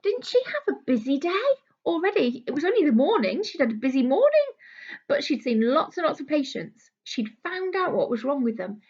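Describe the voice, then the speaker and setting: Female British primary teacher, voice on the high side, reading and explaining a picture book to young kids; slightly dull, lo-fi sound. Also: big pitch swings, moderate pace, darkish tone. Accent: British English. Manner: explanatory, speaking simply as to young children. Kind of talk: children's story reading with simple explanations.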